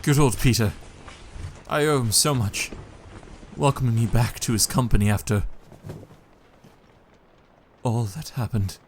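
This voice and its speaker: An actor playing a haunted, fragile young husband in a Victorian gothic audio drama, halting and troubled, with a breathy, husky voice. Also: male voice, mid-range voice, slight background noise, natural voice. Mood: weary, subdued.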